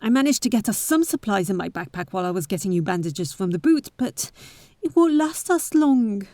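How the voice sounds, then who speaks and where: A woman playing a bold, caring but wary adventurer heiress in an audio roleplay, speaking to the listener with breathy asides and hesitant pauses. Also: mid-range voice, sing-song, mellow, no echo, studio quality, lightly acted. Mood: concerned.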